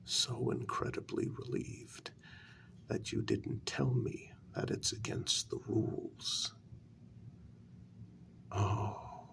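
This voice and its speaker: A man playing a wounded, quietly menacing human who confronts a vampire queen in a soft-spoken ASMR role-play, with a breathy, gravelly half-whisper and deliberate pauses. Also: on the low side, fairly even intonation, heavily acted. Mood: hurt, accusing. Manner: slow, deliberate, pausing between phrases.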